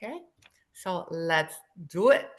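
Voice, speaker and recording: A woman's voice: on the low side, lively intonation, takes it slowly. A woman from Panama, presenting a webinar as a linguist in an enthusiastic, welcoming way over a video call.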